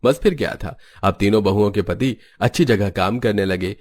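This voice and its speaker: A man's voice: on the low side, moderate pace. A man reading scripted narration for a cartoon family story. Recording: faint background noise.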